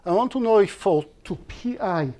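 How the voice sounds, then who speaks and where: A male university lecturer, a Hebrew speaker working through an algorithm in English. His voice sits a little high yet sounds mellow and soft-edged. He explains step by step, pausing to restart and correct himself. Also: lively intonation. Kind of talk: live-streamed algorithms lecture.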